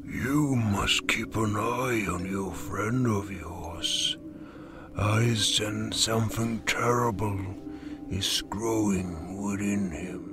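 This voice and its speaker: A man voicing a frail, ancient blind bird hermit who speaks in creaky, breathy whispers. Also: on the low side, slow, over music. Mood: weary.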